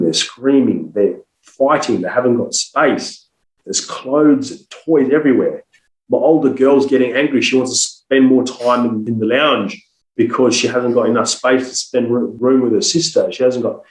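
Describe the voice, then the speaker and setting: A man in mid pitch delivers persuasive sales coaching on a podcast, emphatic and fond of rhetorical questions. He is fighting a cold. The recording carries a roomy echo. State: has a cold. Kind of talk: podcast interview, coaching talk.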